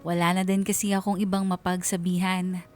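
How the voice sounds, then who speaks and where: A woman's voice: fairly low pitch, little pitch movement, brisk, a little mellow, faint music behind, close to an everyday voice. A woman playing an aggrieved married schoolteacher, narrating her family troubles as a confession in a radio drama. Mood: aggrieved, reflective.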